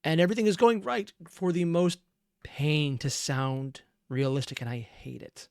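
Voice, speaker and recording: Clear, dry-sounding man, slightly high voice. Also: fairly even intonation, darkish tone, no room sound. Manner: earnest, analytical, deliberate emphasis. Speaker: reaction video host. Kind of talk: reflective commentary on a fan dub.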